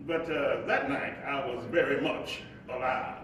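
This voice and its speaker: A man acting a playful, devoted young husband in a staged play reading, with a halting, storytelling delivery. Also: mid-range voice, steady tone, a bit bright, close to an everyday voice. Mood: wry, storytelling. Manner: halting, repeats words, chuckling delivery. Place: large echoey room, live staged reading. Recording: very echoey live hall, distant mic, faint noise.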